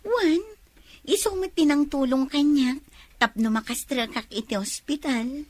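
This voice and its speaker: A woman's voice: sits high, unhurried, a little mellow. A woman playing a chatty, skeptical young friend in a radio drama. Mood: cheerful.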